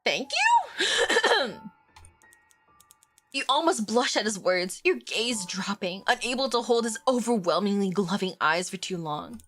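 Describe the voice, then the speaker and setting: A young woman streaming a romance game, congested from a cold, reacting excitedly between stretches of reading the game text aloud. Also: mid-range voice, measured pace. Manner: excitable, swearing, swings between loud outbursts and quiet reading. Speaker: streamer and vtuber.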